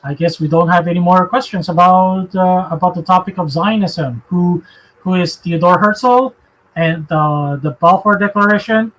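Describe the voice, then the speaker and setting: High-voiced Filipino man, halting delivery. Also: fairly bright tone, low-quality recording. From Philippines. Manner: halting, repeats phrases as he goes. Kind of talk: live religious discussion, answering questions.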